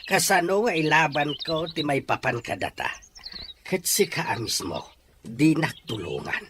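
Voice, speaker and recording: A man's voice: on the high side, lively intonation, unhurried, noisy background. A man with a Filipino accent playing a sorrowful, earnest middle-aged father in an audio drama. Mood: pleading. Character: regretful, pleading middle-aged father, once too poor. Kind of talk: Ilocano audio drama acting.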